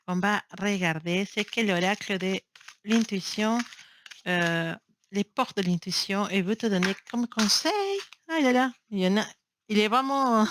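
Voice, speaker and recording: A woman's voice: mid-range voice, a bit bright, slight background noise. A woman who is not a native speaker, reading tarot cards to camera in a casual, affectionate way, often stopping to search for words and restarting phrases. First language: not French. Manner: affectionate, encouraging. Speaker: tarot reader. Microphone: built-in camera mic.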